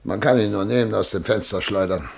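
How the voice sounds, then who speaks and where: Radio drama: vain middle-aged major, gravelly, pompous, aggrieved, theatrical delivery. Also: male voice, on the low side, fairly quick. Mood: despairing, lamenting. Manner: pompous, formal, plaintive and indignant. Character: vain, distraught major who has lost his nose.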